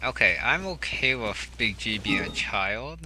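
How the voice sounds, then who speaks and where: A man's voice: lowish voice, animated, a little slow, pushed and bright, slight background noise. A man giving casual commentary as he plays a video game. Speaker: video game player commenting on his playthrough. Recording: game music clearly behind the voice.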